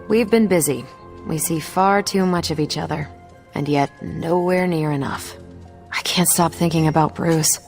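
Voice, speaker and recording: A woman's voice: lowish voice, darkish tone, natural voice. A woman playing a composed, troubled female superhero in a video game cutscene, reflective and sincere. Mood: wry, relaxed.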